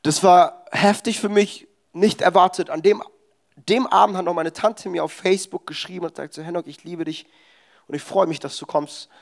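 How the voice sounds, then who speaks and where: A young man delivers a sermon at a church service. His voice sits high and light, and he drives his points home with emphatic, exhorting insistence. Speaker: preacher.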